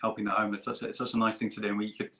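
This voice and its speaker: A man's voice: on the low side, little pitch movement, rapid-fire, fairly bright tone. A man chatting casually as a convention guest, speaking with emphatic enthusiasm. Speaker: guest performer at an online fan convention. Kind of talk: casual chat.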